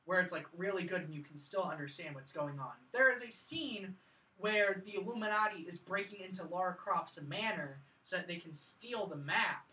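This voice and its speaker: A woman's voice: fairly low pitch, normal speed, bright, forceful tone. A woman hosting a casual film review chat, talking emphatically and repeating points to drive them home. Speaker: podcast host reviewing films. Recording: echoey room, distant from mic.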